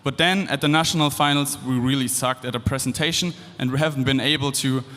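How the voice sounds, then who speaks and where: A man's voice: mid-range voice, steady tone, brisk, sharp tone. A young man in his twenties from Germany, with a German accent, giving a casual, upbeat stage talk to students with loose fillers and restarts. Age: 20s. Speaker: guest speaker. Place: large hall. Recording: long hall reverb.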